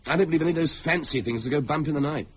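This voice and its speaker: An actor playing a sceptical, pedantic husband with an English accent in a British radio drama. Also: male voice, on the high side, rapid-fire, a little hiss or hum, close to an everyday voice. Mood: argumentative. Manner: pedantic, dismissive. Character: argumentative, sceptical husband, pedantic bridge player.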